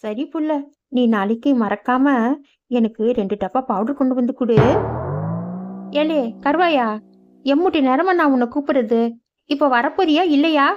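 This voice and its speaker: A woman voicing a loud, bossy village mother in a cartoon, scolding her son. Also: high voice, moderate pace, long pauses, lo-fi sound, lightly acted. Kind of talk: cartoon voice acting.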